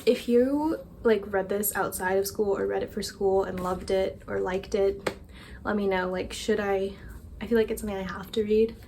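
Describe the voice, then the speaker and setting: A woman's voice: mid-range voice, steady tone, moderate pace, vocal fry, darkish tone, slight background noise. A young woman chatting casually and rambling a little, self-deprecating, as a book vlogger. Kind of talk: casual chat.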